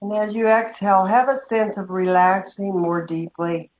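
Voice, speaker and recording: A woman leading a guided meditation, giving slow, gentle instructions with long pauses. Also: mid-range voice, fairly even intonation, a little hiss or hum. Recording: dull, muffled lo-fi sound.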